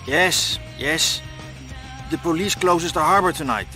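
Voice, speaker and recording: A chatty, tipsy barfly from a video game, with a high, sharp voice. He leers and insinuates in short simple phrases over faint music. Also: measured pace. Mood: jovial, sly. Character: chatty, tipsy, leering harbour bar local.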